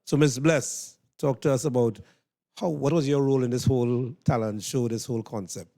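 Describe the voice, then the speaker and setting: Male interviewer, Trinidadian, soft-edged mid-range voice, conversational and rambling, dry close sound. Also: mid-range voice, steady tone, normal speed, dry recording. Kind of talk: casual backstage interview. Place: interview room just off stage.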